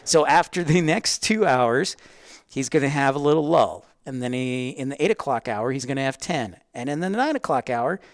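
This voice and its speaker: A man's voice: medium pitch, lively intonation, moderate pace, dry recording, studio quality. A man hosting a livestream, casually explaining online tools step by step and chatting directly with viewers in an informal way. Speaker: livestream host teaching plane-spotting tools.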